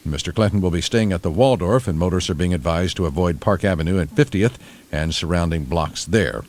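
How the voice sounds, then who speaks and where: An American man reading scripted radio news, dropping in deadpan asides. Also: low voice, fairly quick. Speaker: radio newscaster. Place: radio studio.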